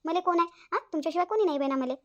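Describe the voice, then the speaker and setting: Cartoon elder sister , woman actor: Varhadi accent, high voice, very rapid, gossipy and put-upon. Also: a bit bright, highs cut off. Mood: earnest. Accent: Varhadi Marathi from Vidarbha. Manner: gossipy, repetitive, complaining. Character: chatty, aggrieved married elder sister on the phone. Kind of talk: Marathi cartoon family-drama dialogue.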